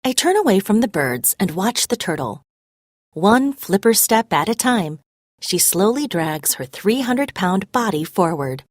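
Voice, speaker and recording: Animated woman reading a story aloud. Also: medium pitch, unhurried, no room sound, clean studio sound. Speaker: read-aloud narrator. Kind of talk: scripted storybook narration.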